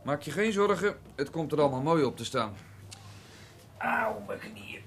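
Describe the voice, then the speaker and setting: Wisecracking police photographer, faint noise. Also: male voice, fairly high pitch, sing-song, moderate pace, fairly bright tone. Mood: jaunty. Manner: dry, chatty, unbothered. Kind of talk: Dutch radio drama acting.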